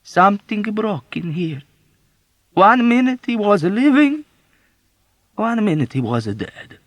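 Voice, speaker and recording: A man's voice: on the high side, big pitch swings, husky, no room sound, pitched above the performer's own voice, exaggerated voice. A man voicing a wailing grieving old woman. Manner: slow mournful wail. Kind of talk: audiobook reading.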